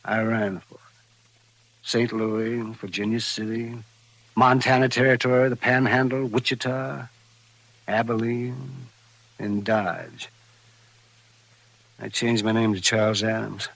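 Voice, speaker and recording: An actor playing a wry frontier doctor with a haunted past, in a theatrical, wordy 1950s radio drama style. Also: male voice, mid-range voice, steady tone, slow, creaky, long pauses, a bit bright, exaggerated voice. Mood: grave recollection. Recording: vintage radio recording.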